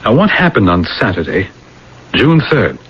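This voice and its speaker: An actor playing an analytical, fatherly psychiatrist who questions insistently and deliberately, in 1980s radio drama style. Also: on the low side, huge pitch swings, husky, heavily acted. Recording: dull, hissy old radio broadcast recording.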